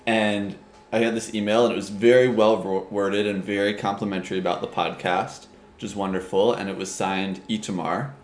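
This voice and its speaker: A man's voice: fairly low pitch, flat delivery, a little fast. A man hosting a casual podcast chat, telling a rambling story and laughing easily. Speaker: podcast host. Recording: echoey room, faint background hum.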